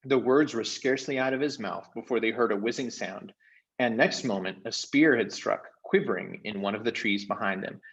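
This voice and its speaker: A man narrating a children's book aloud as an expressive storyteller. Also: on the low side, normal speed, muffled recording.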